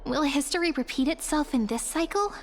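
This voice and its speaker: A woman's voice: high-pitched, lively intonation, fairly quick, a little hiss or hum. An actress playing an earnest, cautious young female companion in a game, polite and attentive. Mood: uneasy. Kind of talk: scripted game dialogue.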